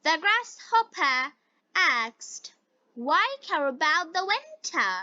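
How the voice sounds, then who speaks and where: A Bengali-speaking woman teaching English, reading phrase by phrase with deliberate enunciation in a repeat-after-me lesson style. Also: very high voice, very animated, sharp tone, highs cut off. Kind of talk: slow read-aloud English lesson with repeat-after-me drills.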